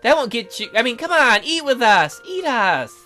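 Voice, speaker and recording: A man's voice: very high voice, big pitch swings, normal speed, bright, forceful tone, faint music behind, voice pushed up, heavily acted. A man voicing a brash, bossy teenage schoolgirl in a visual novel, blunt and cheeky. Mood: pushy.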